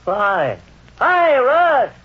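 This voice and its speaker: An actor playing a garrulous, oblivious old uncle with a folksy manner in a vintage radio comedy. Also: male voice, extremely high pitch, wildly swooping pitch, extremely slow, heavily acted. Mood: nosy. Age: older. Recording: dull old radio recording.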